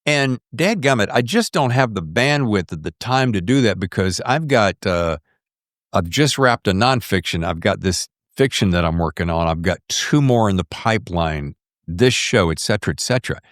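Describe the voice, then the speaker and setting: A man hosts a podcast in loose, casual conversation, his pitch swinging widely as he rambles along. He is from the US South and leans on folksy slang. The sound is close and dry, with very little room to it. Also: expressive, normal speed, no echo. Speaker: podcast host. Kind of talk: casual interview chat.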